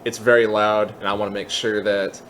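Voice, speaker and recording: A man hosting a casual software tutorial, explaining things step by step in an informal way. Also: fairly low pitch, little pitch movement, brisk, slight background noise. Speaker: tutorial host.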